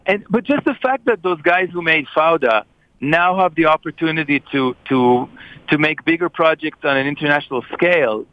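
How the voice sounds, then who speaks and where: A man's voice: fairly high pitch, moderate pace, dry recording, highs cut off. A man, a Hebrew speaker, hosting a casual discussion and talking thoughtfully with frequent restarts. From Israel. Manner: thoughtful, hesitant with repeated restarts.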